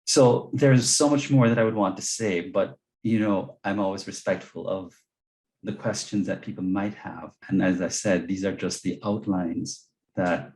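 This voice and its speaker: Jamaican man, low mellow voice. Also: poor audio. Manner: earnest, repeats words when searching. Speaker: guest speaker. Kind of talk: webinar talk and Q&A answers.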